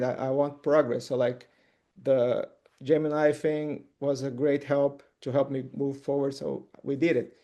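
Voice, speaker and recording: Male, middle-aged, Portuguese native speaker. Soft-edged mellow tone, medium pitch, conversational testimony with a stop-and-start delivery. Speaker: author giving a testimony. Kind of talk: informal personal testimony.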